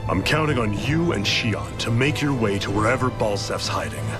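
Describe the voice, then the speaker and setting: Man playing a confident, seasoned resistance leader over loud music. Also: mid-range voice, moderate pace, lightly acted. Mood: commanding. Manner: commanding, plain-spoken. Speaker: video game voice actor. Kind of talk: dramatic video game cutscene acting.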